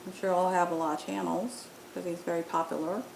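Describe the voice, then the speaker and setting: A woman's voice: flat delivery, a little fast, creaky. Woman giving a tutorial in an echoey room. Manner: explanatory, step by step. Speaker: app tutorial presenter. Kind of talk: informal software walkthrough narration. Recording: roomy echo, faint rumbling background noise.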